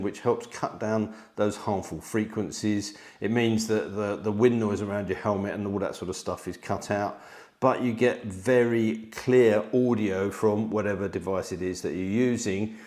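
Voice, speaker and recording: A man's voice: on the low side, darkish tone. A middle-aged man explaining a product to camera in a conversational way, recorded indoors in a studio on a close mic. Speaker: product presenter. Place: indoor studio, seated at a table.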